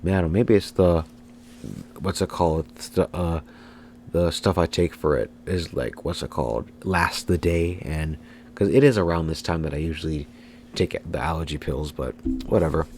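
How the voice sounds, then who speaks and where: A man's voice: low voice, moderate pace, a little mellow, slight background noise. A congested man with a cold, giving rambling, self-correcting let's play commentary. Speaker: gaming video host. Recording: game music behind.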